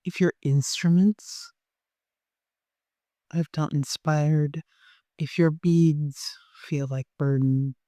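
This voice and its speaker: A meditation guide of unclear sex, speaking gently and softly in an unhurried way, with long pauses, leading a guided meditation. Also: mid-range voice, steady tone, dry recording, studio quality.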